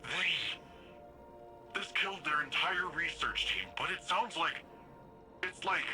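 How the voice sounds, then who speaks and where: An actor playing an earnest, uneasy young hero in a video game drama, speaking plainly and hesitantly. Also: male voice, a little high, expressive, takes it slowly. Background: music.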